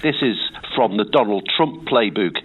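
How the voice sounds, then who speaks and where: A man calling a radio phone-in with a croaky voice, sounding indignant and sarcastic. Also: on the low side, animated, normal speed. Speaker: caller. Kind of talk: phone-in call, reading out and criticising. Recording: tinny phone line.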